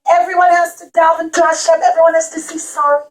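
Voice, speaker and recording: A woman's voice: very high-pitched, little pitch movement, fast talker. A woman telling a puppet-show story to young children, explaining simply and repeating phrases with dramatic emphasis.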